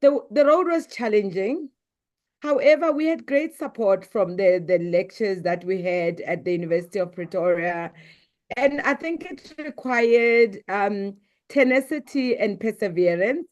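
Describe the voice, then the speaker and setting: A woman's voice: medium pitch, unhurried, muffled recording. Composed South African businesswoman. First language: Sepedi. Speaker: guest, business executive. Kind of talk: interview answers.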